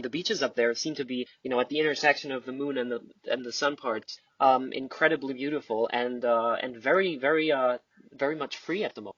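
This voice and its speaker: A man chatting casually as a podcast guest, halting and repeating his words as he improvises. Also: medium pitch, slightly rough, no echo. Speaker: podcast guest, audio play announcer. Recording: dull, muffled close recording.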